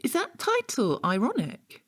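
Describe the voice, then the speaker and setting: An actress playing an exacting, condescending art tutor with a formal, pedantic manner in an audio drama. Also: female voice, a little high, wildly swooping pitch, measured pace, full character voice. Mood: exacting, impatient. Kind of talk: naturalistic audio drama dialogue.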